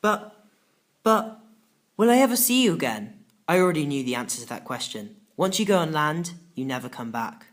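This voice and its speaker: Low-voiced, animated woman storyteller. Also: normal speed. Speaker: audiobook narrator. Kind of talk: expressive first-person children's story narration.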